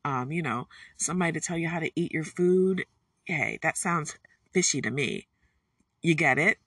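A woman's voice: low voice, moderate pace, fairly bright tone, husky, dry recording, studio quality. A woman cooking at home, chatting in a casual, folksy way with self-amused asides and corny jokes. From Detroit, USA. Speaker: home cook. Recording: distant mic with guitar music behind. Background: guitar music.

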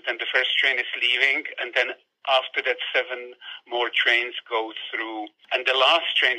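A man's voice: medium pitch, sharp tone. A man with a Czech accent, a guest author on a phone call, telling a story thoughtfully and often restarting his sentences. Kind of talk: storytelling in conversation.

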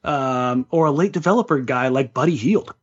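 A man's voice: medium pitch, normal speed, slightly rough. Slightly muffled man talking sports. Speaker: sports podcast co-host. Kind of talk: casual sports talk and analysis. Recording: slightly dull, lo-fi sound.

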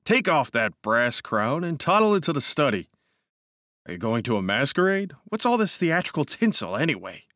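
A brash young cavalry officer in a storybook reading, hearty and teasing, his voice pushed noticeably higher as a man puts him on. The sound is dry and close, with no room around it. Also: very animated, moderate pace, fairly bright tone, no echo. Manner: hearty, teasing, raised pitch.

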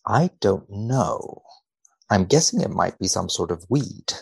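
A man speaking as a researcher guest on a podcast, dry and mock-serious in a measured delivery. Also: on the low side, expressive, gravelly, slightly rough. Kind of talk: podcast chat and reading aloud from a study.

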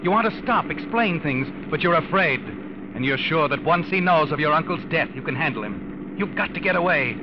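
Ominous old-radio suspense narrator, an actor's higher male voice. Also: expressive, moderate pace, background noise, lightly acted. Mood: tense, ominous. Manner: dramatic, knowing, mocking. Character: sardonic ominous male narrator taunting a scheming killer. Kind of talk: 1940s radio suspense narration in second person. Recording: muffled old radio recording with music.

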